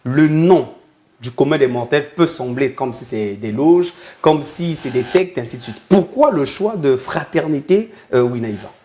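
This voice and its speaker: A man's voice: mid-range voice, animated, a little slow, dark tone, slight background noise. A male television journalist hosting a formal studio interview, speaking with deference and ceremony and addressing his guest with honorific titles. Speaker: TV journalist and host.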